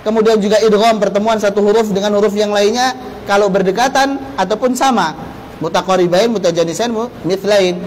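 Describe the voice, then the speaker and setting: A man's voice: high-pitched, steady tone, fairly quick, a little hiss or hum, rough recording. An Indonesian man giving an instructional religious lecture as a teacher, explaining step by step with rhetorical questions. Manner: explanatory, poses rhetorical questions and answers them. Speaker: Islamic teacher giving a Quran recitation course. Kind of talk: instructional religious lecture with Arabic terms and quotations.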